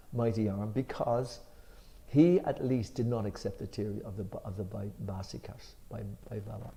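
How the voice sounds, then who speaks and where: Mellow-voiced Irish man leading a study. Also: fairly low pitch. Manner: emphatic when reading, then relaxed and unhurried with fillers. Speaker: scripture discussion leader. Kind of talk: reading a commentary aloud, then explaining it.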